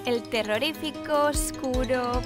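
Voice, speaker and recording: A woman voicing a timid, grumbling young princess in an animated children's dub, dramatic and whiny, with an accent from Spain. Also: high voice, a little slow. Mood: reluctant, fearful. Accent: Spanish from Spain. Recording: music under the dialogue.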